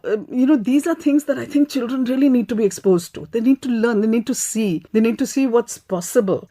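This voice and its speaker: A woman's voice: on the high side, dark tone. A woman with an Indian accent speaking reflectively and earnestly in a panel discussion, repeating phrases for emphasis. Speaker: animal rights activist, panelist.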